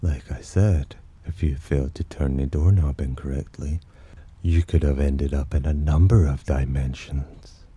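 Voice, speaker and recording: A man playing a teasing, possessive demon in an ASMR roleplay, with a breathy, husky voice and a smug, theatrical drawl. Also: very deep voice, fairly even intonation, a little slow, mellow, exaggerated voice. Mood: smug, curious. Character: teasing, possessive male demon sheltering a human. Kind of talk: ASMR roleplay, slow intimate character acting.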